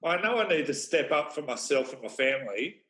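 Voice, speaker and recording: A man taking part in a casual group call, plain-spoken and a little halting in his phrasing. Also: fairly high pitch, very animated, crowd noise. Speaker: program participant. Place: live online video call. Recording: roomy, echoey video-call sound.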